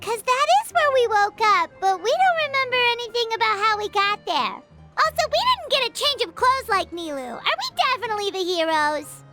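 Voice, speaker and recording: A woman's voice: very high voice, sing-song, moderate pace, over music. A woman voicing a tiny floating female companion who is chirpy, bouncy and greedy, talking about herself in the third person. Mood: curious. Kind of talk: game cutscene voice acting.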